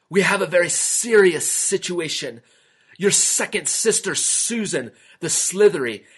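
Urgent storybook snake messenger, fretful and high, voiced by a man. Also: a bit bright, slightly rough, heavily acted. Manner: distressed, urgent. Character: anxious male messenger snake.

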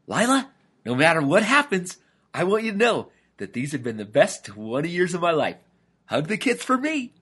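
A man voicing an excitable, anxious father lizard in a storybook, with dramatic exclamations. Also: a little high, measured pace, slightly rough, voice pushed up, exaggerated voice. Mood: brave, tender. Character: excitable anxious male father lizard, brave but easily panicked.

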